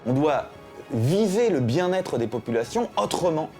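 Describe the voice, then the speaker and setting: A man speaks in a slightly high register with animated pitch movement. He lays out arguments analytically, as in a documentary interview. Soft music plays behind him. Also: normal speed. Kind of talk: interview commentary in a documentary film.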